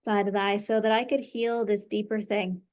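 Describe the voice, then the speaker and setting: A woman's voice: medium pitch, flat delivery, moderate pace, a little mellow. A woman practitioner guiding a client through a tapping session, encouraging her and leading phrases for her to repeat.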